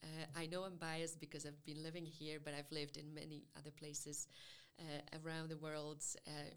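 A woman's voice: deep voice, little pitch movement, moderate pace, clean tone. A woman from Poland with a Polish accent, a psychology lecturer in an interview, speaking carefully with many hesitations. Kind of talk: interview about university study.

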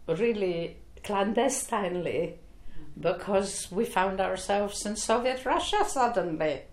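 An older woman from Poland, recounting her early life in an oral history interview, with slow, reflective speech and frequent fillers. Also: medium pitch, darkish tone. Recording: echoey room.

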